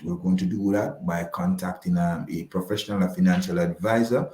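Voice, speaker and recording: Male advisor guest, Jamaican accent, very low pitch, halting explanatory delivery with repeated phrases, noticeably reverberant room sound. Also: a little mellow. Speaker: financial advisor, interview guest. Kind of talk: explanatory interview answers. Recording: roomy, echoing voice pickup.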